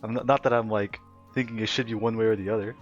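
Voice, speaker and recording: A man's voice: fairly low pitch, steady tone, fast talker. Young male streamer, giggly and rambling, talking to chat off the cuff; slightly dull lo-fi stream audio with faint music behind. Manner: giggly, laughs often and rambles with fillers. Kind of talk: casual livestream chat reading chat aloud. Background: faint background music.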